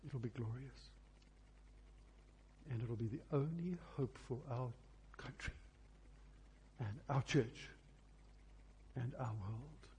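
A man preaching earnestly to a congregation, pausing between phrases for emphasis. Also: medium pitch, a little mellow, slight background noise. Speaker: preacher introducing a recording. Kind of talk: sermon to a congregation.

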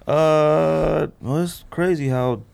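A man's voice: fairly high pitch, slow pace, gravelly, a little mellow. A man in a casual debate among friends as a podcast host, insistently defending his opinions.

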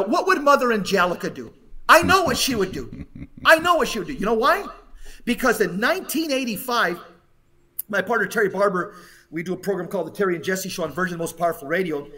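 A man with a high-pitched voice delivers impassioned commentary like a radio co-host. He is emphatic and preaching, leaning on rhetorical questions. He sounds a little distant from the microphone. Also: fairly bright tone.

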